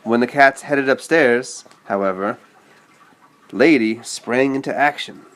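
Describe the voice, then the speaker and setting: A man's voice: mid-range voice, a little slow, fairly bright tone. A man reading a children's story aloud and chatting patiently with a child, explaining as he goes. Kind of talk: read-aloud storytelling with casual asides to a child.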